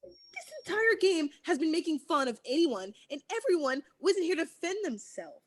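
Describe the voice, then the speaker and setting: A woman's voice: big pitch swings, moderate pace, no room sound. A woman reading the part of a teenage girl losing her temper at a party. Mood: upset. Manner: emotional, pitch jumping. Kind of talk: table read of a teen drama.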